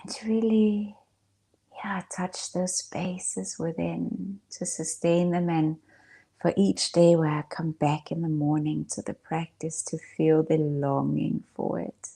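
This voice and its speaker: A woman speaking as a meditation guide, in a soft, breathy, hushed voice with long pauses. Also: low-pitched, little pitch movement. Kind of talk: gentle guided meditation talk.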